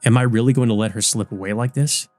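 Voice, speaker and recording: A man's voice: mid-range voice, quick pace, a little mellow. Man narrating dramatically over music. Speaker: let's play narrator. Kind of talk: dramatic scripted narration of a visual novel.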